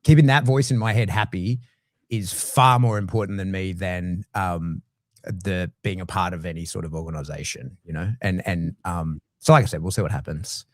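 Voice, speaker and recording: A middle-aged Australian man talking casually as a guest, blunt and swearing freely, with lively swings in his voice. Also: deep voice, moderate pace, no room sound, clean studio sound. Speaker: guest dog trainer. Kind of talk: casual conversation.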